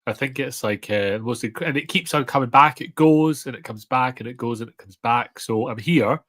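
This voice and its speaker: A man's voice: medium pitch, normal speed, fairly bright tone. A man chatting on a podcast while congested with a cold, matter-of-fact about it. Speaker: podcast guest. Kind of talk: casual chat.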